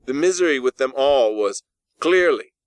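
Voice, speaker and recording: A man's voice: mid-range voice, lively intonation, normal speed, clean tone, no room sound. A man narrating a classic story from a script in a dramatic audiobook style. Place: close, dry in-house recording.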